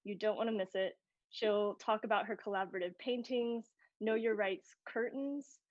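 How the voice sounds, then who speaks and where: A woman's voice: mid-range voice, fairly even intonation, moderate pace, no room sound. Upbeat woman host with muffled sound. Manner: upbeat, scripted delivery. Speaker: live stream co-host. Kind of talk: scripted hosting. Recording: dry, muffled audio.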